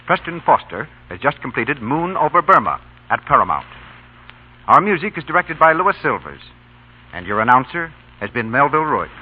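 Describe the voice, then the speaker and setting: A man's voice: mid-range voice, big pitch swings, moderate pace, background noise. A man announcing credits and notices in scripted 1940s radio style. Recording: muffled old radio recording.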